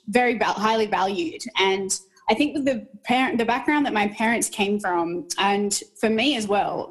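A woman's voice: medium pitch, rough recording. A young woman from Australia, a teacher, speaking as a podcast guest and telling her story earnestly, often repeating words as she thinks. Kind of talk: podcast interview.

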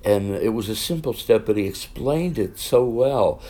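Older man, loud animated storyteller. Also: on the low side, normal speed. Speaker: guest, veteran musical performer. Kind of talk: conversational interview.

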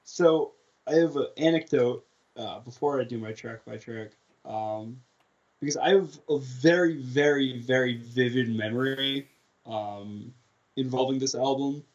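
A man's voice: mid-range voice, unhurried. Laid-back young man, slightly muffled. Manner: laid-back, swears casually, trails off. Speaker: podcast co-host. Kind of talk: casual music chat.

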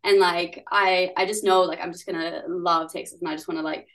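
A woman's voice: on the low side, fairly even intonation, fairly quick, a bit bright. A young woman from New Zealand, a musician guest chatting casually in an interview, full of "like" fillers and giggles.

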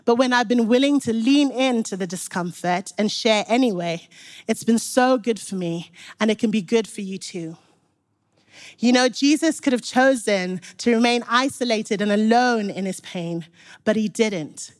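A woman's voice: on the high side, normal speed. A young woman from Zimbabwe giving an earnest, encouraging sermon, speaking directly to the congregation. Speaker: preacher.

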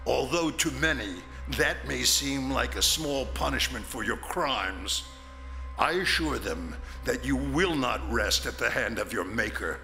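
A man's voice: medium pitch, lively intonation, a little slow, vocal fry, pushed and bright, heavily acted. A man playing a stern, condemning judge in an audio drama, speaking in solemn, grandiose oratory. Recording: long hall reverb, music behind.

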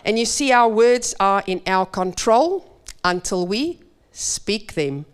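Slow, clear-voiced woman preaching. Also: mid-range voice, animated. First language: Afrikaans. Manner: earnest, measured, emphatic delivery. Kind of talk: sermon. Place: church.

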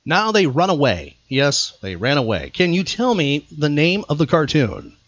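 A man's voice: on the high side, very animated, moderate pace, a bit bright, faint music behind. A man hosting a trivia podcast with casual, teasing, mock-incredulous banter, on a slightly dull, dry recording.

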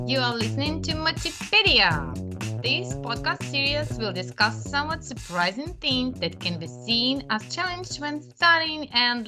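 A woman hosting a podcast, reading a prepared introduction and interview questions in a clear, measured way. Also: fairly high pitch, sharp tone. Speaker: podcast host.

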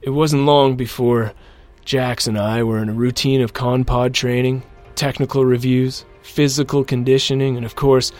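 A man's voice: mid-range voice, level tone, moderate pace, few pauses, darkish tone, lightly acted. Actor as a male pilot narrating over faint music. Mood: grim, reflective. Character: male mech pilot recording a grim survivor's account. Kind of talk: audio drama first-person narration.

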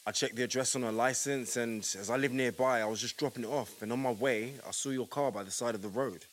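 An actor playing a helpful, concerned restaurant manager in an audio play. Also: male voice, medium pitch, quick pace, close to an everyday voice. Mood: concerned, hesitant.

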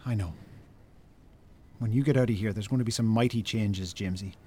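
An actor playing a farmer's worried, blunt younger brother with an Irish accent in a naturalistic radio drama. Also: male voice, lowish voice, brisk, mellow, a little hiss or hum, close to an everyday voice. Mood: stern, guilty.

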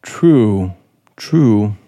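An actor playing a brooding, manipulative scriptwriter in 1950s radio suspense style, probing deliberately with dramatic pauses. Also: male voice, on the low side, lively intonation, mellow. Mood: probing, wry. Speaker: actor in the lead role. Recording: 1950s radio broadcast, music behind.

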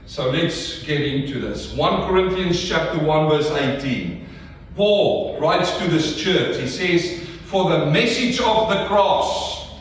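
A South African man preaches with a fairly high voice, pushing his points hard and calling for answers from the pews. He sounds set back from the mic, and a long reverb from the church hall trails every phrase. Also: lively intonation, slow, distant mic, a little hiss or hum. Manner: emphatic call-and-response preaching. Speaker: pastor. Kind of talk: sermon.

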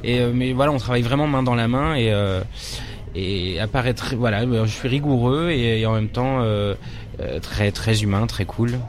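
A young man from France who acts and directs on stage talks through his answers in an interview. He stops often, fills gaps with hesitations and restarts his sentences. His voice sits at an ordinary middle pitch for a man. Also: moderate pace. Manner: hesitant, many fillers and restarts.

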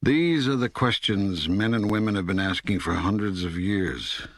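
A very deep, husky God speaks as a patient teacher in an audiobook. He is calm and authoritative, placing each phrase deliberately in a close, dry recording. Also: no echo. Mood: encouraging. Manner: measured, deliberate, emphatic phrasing with pauses. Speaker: audiobook narrator. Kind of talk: scripted audiobook dialogue reading.

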